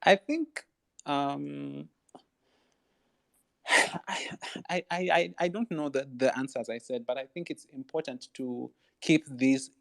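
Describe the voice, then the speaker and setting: A man's voice: medium pitch, takes it slowly, creaky, pauses often, dry recording. Thoughtful man. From Uganda. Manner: thoughtful and searching, restarts often. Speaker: panelist.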